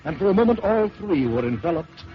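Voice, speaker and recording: A man narrating a first-person horror story in dramatic old-time radio style, swelling and dropping to hushed tones. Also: high-pitched, sing-song, brisk. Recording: dull lo-fi old radio recording with hiss.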